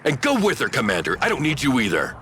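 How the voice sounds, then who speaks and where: An actor playing a haughty, authoritative senior commander in a video game, speaking formally and pompously. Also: male voice, mid-range voice, huge pitch swings, quick pace, a bit bright, slight background noise. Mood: stern, condescending. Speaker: senior commander and diplomatic envoy. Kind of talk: scripted video game briefing. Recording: music behind the voice.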